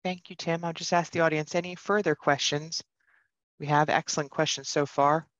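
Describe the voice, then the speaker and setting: A woman's voice: sits low, steady tone, normal speed, no room sound. A woman moderating an online event through a headset on a video call. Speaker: symposium moderator. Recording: slightly dull headset call audio.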